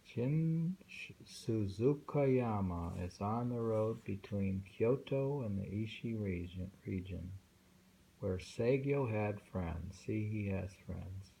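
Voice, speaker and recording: A man reading poems aloud and commenting casually in a slow, musing way, with thoughtful hums. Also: fairly low pitch, expressive, gravelly, dark tone, a little hiss or hum, poor audio. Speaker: reader of translated poetry.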